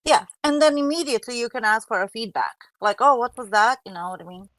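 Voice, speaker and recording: A woman's voice: fairly high pitch, lively intonation, quick pace, a bit bright, no echo. A woman speaking as a guest on a casual panel in an upbeat, emphatic way.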